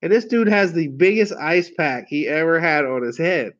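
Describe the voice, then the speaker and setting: Guy chatting on his livestream, kinda hoarse from a cold, pitch sits a bit high, dull lo-fi audio. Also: normal speed, fairly bright tone. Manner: rambling, laughing storyteller who swears casually. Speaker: car sales livestream host. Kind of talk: casual livestream chat with viewers.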